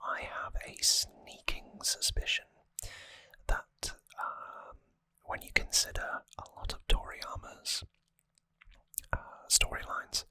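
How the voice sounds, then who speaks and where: ASMR host: fully whispered, bright and breathy delivery, musing trivia commentary, close dry mic. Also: no echo. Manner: musing, conversational trivia talk. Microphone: close microphone, dry sound.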